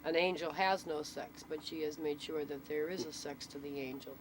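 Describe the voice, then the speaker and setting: A woman's voice: low-pitched, flat delivery, moderate pace. A woman explaining things in casual storytelling, matter-of-fact and checking that the listener follows. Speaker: interviewee, local storyteller. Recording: noisy amateur recording with vehicle ambience.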